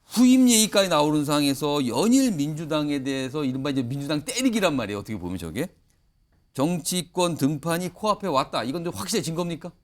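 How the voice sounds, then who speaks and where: A man hosting a news panel show in a lively, conversational anchoring style. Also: on the high side, fast talker.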